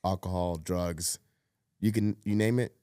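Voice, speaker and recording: A man in his thirties, born in Cuba, telling his life story as a podcast guest in a relaxed way, with lots of fillers, often trailing off quietly. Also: low-pitched, unhurried, clear, resonant tone, dry recording, studio quality. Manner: laid-back, many fillers, drops to a mutter at phrase ends. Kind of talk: casual storytelling chat.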